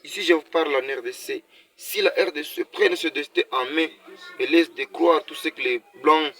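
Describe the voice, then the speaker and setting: A man's voice: a little high, moderate pace, a bit bright, a little hiss or hum, poor audio. A man from Congo delivering a passionate motivational monologue to his viewers, full of repeated words and restarts. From Congo (DRC). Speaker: video creator.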